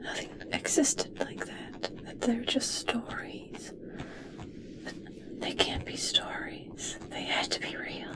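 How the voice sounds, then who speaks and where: Whispering, obsessed woman scientist, acted by a woman. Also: a little high. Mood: obsessed, tender. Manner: soft, soothing, slow, coaxing. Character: obsessed, gentle female scientist fascinated by merpeople. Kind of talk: whispered ASMR roleplay.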